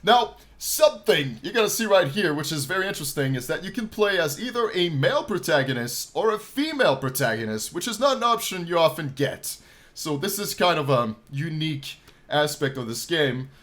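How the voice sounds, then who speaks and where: A man in his twenties hosting a game playthrough, reading story text aloud with theatrical, drawn-out delivery and casual asides. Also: on the high side, very animated, fairly bright tone. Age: 20s. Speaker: gaming channel host.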